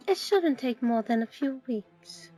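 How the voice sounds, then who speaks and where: A woman's voice: high voice, animated, measured pace, mellow, faint music behind, poor audio. A woman acting a stern older schoolteacher in an audio drama, clipped, formal and no-nonsense.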